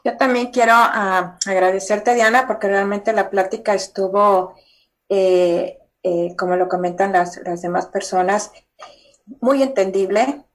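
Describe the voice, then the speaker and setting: A woman's voice: mid-range voice, steady tone, measured pace. A woman speaking on a video call, hesitant and repeating words as she gives thanks. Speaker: meeting participant.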